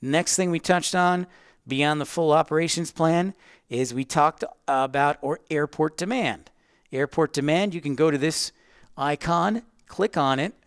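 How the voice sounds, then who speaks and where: A man's voice: a little high, a little slow, clean tone, no room sound, clean studio sound. A man hosting a livestream, casually explaining online tools step by step and chatting directly with viewers in an informal way. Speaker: livestream host teaching plane-spotting tools.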